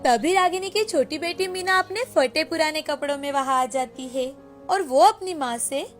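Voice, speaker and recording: A woman's voice: high-pitched, moderate pace, faint music behind. A woman narrating a scripted moral story as storyteller.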